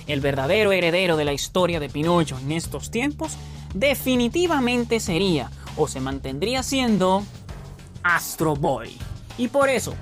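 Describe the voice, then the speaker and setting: A woman with a fairly low voice gives explanatory commentary as a show host. Her pitch moves around a lot as she stresses points and slips in asides. Faint music plays behind her. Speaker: host of a geek culture show. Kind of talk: explanatory commentary on video game lore. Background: background music.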